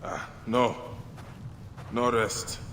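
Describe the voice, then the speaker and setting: An actor playing a driven, vengeful Egyptian warrior with a tender side in a video game, in a reverberant sound. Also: male voice, medium pitch, fairly even intonation, drawn-out words, fairly bright tone, a little hiss or hum. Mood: grim, determined. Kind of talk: naturalistic video game acting.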